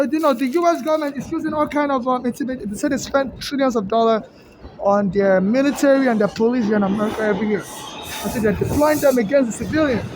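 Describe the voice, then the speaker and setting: A woman rambling through a long personal monologue, halting and restarting often. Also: on the high side, darkish tone, a little hiss or hum, low-quality recording.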